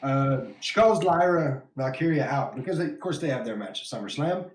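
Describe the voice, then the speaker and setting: A man's voice: medium pitch, expressive, moderate pace. West Texas man with a roomy echo. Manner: laughs often, reads viewer comments aloud. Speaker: podcast host. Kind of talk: casual wrestling podcast chat.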